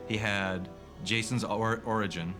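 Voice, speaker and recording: A man's voice: on the low side, fairly even intonation, measured pace, over music. A man who works as an animation creator, explaining things informally in a casual documentary interview.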